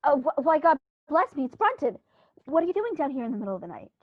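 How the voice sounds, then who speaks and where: A woman's voice: sits high, big pitch swings, fast, no room sound. A woman playing an upper-class male country squire in a 1930s-style radio play, speaking with theatrical, genteel emphasis. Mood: indignant. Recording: dull, muffled recording.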